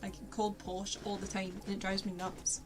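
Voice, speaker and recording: Young woman, posh Glasgow accent, rambling self-mocking streamer chat, mid pitch. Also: flat delivery, a little fast. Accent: posh Glaswegian Scottish. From Scotland. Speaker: art streamer. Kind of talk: casual live-stream chat while painting. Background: faint lo-fi music.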